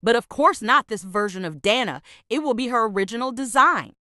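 A woman's voice: a little high, expressive, fairly quick, pushed and bright, no room sound, studio quality. A woman video creator talking in a casual narration. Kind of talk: casual vlog-style narration.

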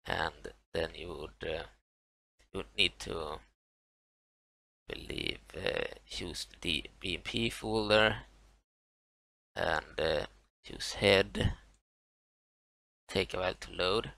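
Swedish man, non-native English, low gravelly voice, halting tutorial narration with lots of fillers, close and dry. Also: bright, forceful tone, no room sound. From Sweden. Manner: halting, many fillers and restarts. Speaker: tutorial maker. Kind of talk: screen-recorded software walkthrough.

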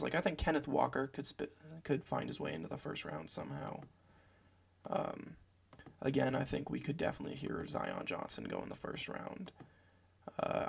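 A young man with a gravelly voice, hoarse from a cold, giving casual football draft commentary from a small room at home, rambling with lots of fillers. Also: medium pitch. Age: young adult. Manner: meandering, filler-heavy, self-correcting.

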